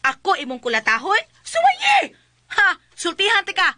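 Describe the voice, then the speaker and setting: A woman's voice: very high-pitched, wildly swooping pitch, normal speed, bright, forceful tone, dry recording, heavily acted. A woman playing a combative, scolding wife who threatens and confronts, in a comic radio drama with a Cebuano accent. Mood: defiant, threatening.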